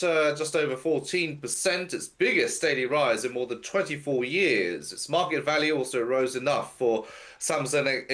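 Congested man briefing the news. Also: on the high side. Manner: steady informative delivery with frequent uh fillers. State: blocked nose. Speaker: news commentator. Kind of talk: news briefing over video call. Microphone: video call.

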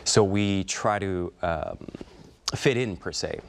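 A man's voice: deep voice, slow pace, clean tone. A man with a slight Korean accent, an actor speaking as an interview guest, thoughtful and hesitant with many fillers. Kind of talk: interview conversation.

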